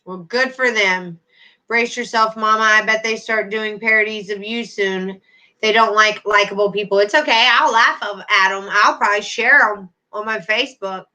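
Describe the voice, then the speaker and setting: A woman's voice: medium pitch, fairly even intonation, normal speed, pushed and bright, low-quality recording. A middle-aged woman hosting a casual livestream, chatting to viewers by name with endearments. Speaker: YouTube livestream host.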